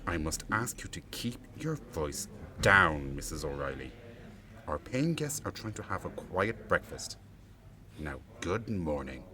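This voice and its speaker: A man's voice: low voice, big pitch swings, a little slow. A man playing a haughty, officious hotel manager in a radio drama, scolding in a pompous, formal, disdainful way. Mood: coldly formal. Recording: busy crowd chatter behind.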